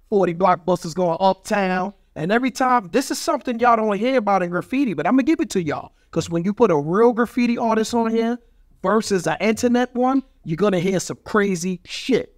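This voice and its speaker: A middle-aged man with a New York accent holds forth in casual podcast talk. His voice sits high for a man. He is brash and boastful, full of street slang and bawdy storytelling. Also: fairly quick, no echo, clean studio sound. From Brooklyn, New York. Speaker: guest, street graffiti artist. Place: small studio with foam panels. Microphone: studio mic on a boom arm.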